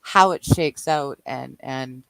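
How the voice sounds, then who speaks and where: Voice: mid-range voice, slow, creaky, fairly bright tone, no echo, rough recording. Chatty guest on a dry voice call. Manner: hedging, chatty, laughs often. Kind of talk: casual online chat. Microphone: remote call over Discord.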